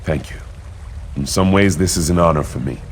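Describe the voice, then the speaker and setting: A man voicing a grave, deep-voiced prince. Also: fairly quick, slight background noise, natural voice. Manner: solemn, formal speech. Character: dutiful adult prince, grave and self-sacrificing. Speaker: game character.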